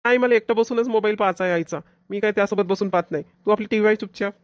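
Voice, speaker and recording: A woman's voice: mid-range voice, racing, no room sound, clean studio sound, close to an everyday voice. A woman voicing a bossy, selfish big brother in a cartoon comedy, domineering, with a Vidarbha Marathi accent. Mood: scolding. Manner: domineering, scolding. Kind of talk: amateur cartoon comedy dialogue.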